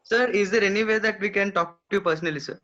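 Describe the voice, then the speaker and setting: A man's voice: high voice, fast talker, sharp tone. A man calling in, speaking deferentially and earnestly over a muffled online call. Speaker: caller.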